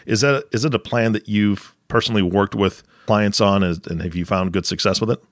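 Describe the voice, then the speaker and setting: A man's voice: fairly low pitch, steady tone, brisk, no room sound, studio quality. An older man, a grandfather, hosting a podcast and asking rambling follow-up questions. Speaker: podcast host. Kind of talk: podcast interview.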